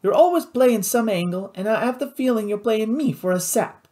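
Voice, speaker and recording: A man's voice: high voice, expressive, normal speed, dark tone, voice pushed up. A man voicing a hot-tempered pirate boss in an audiobook, indignant and scolding, peppering his talk with French exclamations. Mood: aggrieved.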